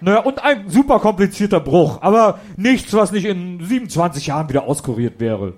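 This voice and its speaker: Man doing a breezy, high-voiced police inspector. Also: sing-song, a little fast. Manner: jovial, breezily understating. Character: cheerfully stoic, badly injured male police inspector. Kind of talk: comedic audio drama acting.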